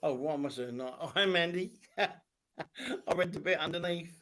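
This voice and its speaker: A man from Turkey with a slightly high voice talks as a guest on a casual live stream. He is friendly and curious, asks viewers where they are from and laughs easily. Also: moderate pace, a bit bright. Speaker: medium and spiritual teacher.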